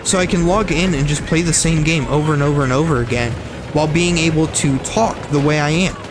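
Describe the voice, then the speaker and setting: A man's voice: a little high, a little fast, background noise. Rambling young man talking over gameplay. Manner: rambling, repeats himself, self-correcting. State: slight frog in throat. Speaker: gaming streamer. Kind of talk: casual gameplay commentary.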